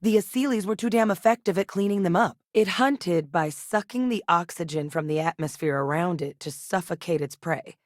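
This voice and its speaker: An actress as a dedicated woman scientist from a science fiction video game. Her voice sits low and is recorded dry and close. She speaks with measured, articulate care and a grave, earnest temper. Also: no echo, lightly acted. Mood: explanatory, sober. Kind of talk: video game character dialogue.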